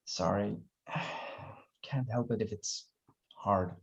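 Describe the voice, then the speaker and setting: Slow-talking scruffy young guy played by an actor, dull recording. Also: lowish voice, vocal fry, heavily acted. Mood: teasing. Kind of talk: table read acting of a screenplay.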